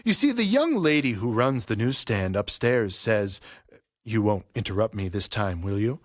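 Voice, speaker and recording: An actor playing a vengeful graying barber in an audio play, speaking with servile politeness and cool menace. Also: male voice, on the low side, sing-song, normal speed, dry recording, clean studio sound. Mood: mocking.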